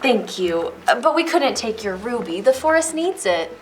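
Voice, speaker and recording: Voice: medium pitch, very animated, normal speed, lightly acted. An amateur performer with a female voice playing a grateful, polite blacksmith in a storybook reader's theater play. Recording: slightly distant mic, faint music.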